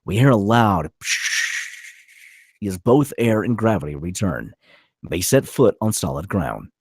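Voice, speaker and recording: A man with a low, rough-edged voice narrates stage directions from a screenplay at a table read. His pitch moves around in an animated way, and the sound is dry and close. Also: takes it slowly, no echo.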